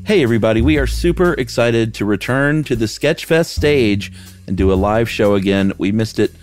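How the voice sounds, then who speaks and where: A man's voice: medium pitch, animated, normal speed, soft background music. A man co-hosting a podcast, stuffy from a head cold, talking in an easygoing, self-deprecating way. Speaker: podcast co-host. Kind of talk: casual chat.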